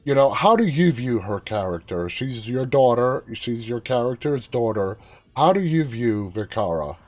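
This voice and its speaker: A man's voice: mid-range voice, sing-song, moderate pace, darkish tone, faint music behind. A man hosting a live interview, asking questions in a casual, conversational style. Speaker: interview host.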